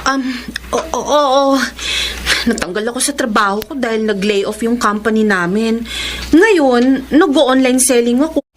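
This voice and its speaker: An actress playing a proud, feisty online seller and bossy elder sister in a Filipino radio drama. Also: female voice, a little high, unhurried, a little hiss or hum, natural voice. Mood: flustered.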